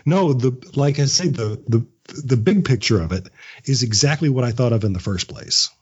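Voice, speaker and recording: A man's voice: medium pitch, expressive, moderate pace, darkish tone, slightly rough, dull audio. A man giving casual, reflective interview answers as a guest writer, often repeating words as he thinks. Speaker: podcast guest, audio drama writer; script writing teacher.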